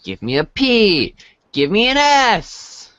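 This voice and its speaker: Teenage boy played by an amateur actor, excitable and sarcastic, slow exaggerated delivery, close dry sound. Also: medium pitch, no room sound, full character voice. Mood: mocking cheer. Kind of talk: amateur dramatic reading of webcomic chat.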